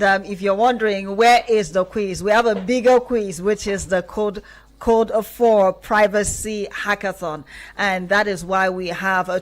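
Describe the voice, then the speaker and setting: Nigerian woman hosting a radio show. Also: mid-range voice. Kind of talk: live radio hosting and interviewing. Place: radio studio. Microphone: studio microphone.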